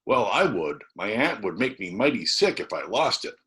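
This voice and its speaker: Guy reading as a scared excited country boy from a storybook, voice jumping up high, breathless folksy talk. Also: normal speed. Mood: animated.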